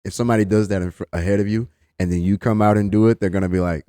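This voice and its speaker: A man with a thick East Texas accent and a deep voice talking energetically as a podcast guest. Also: rapid-fire, clear, resonant tone, no echo, clean studio sound. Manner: animated, energetic talker. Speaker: guest, amateur comedian. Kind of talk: casual conversation.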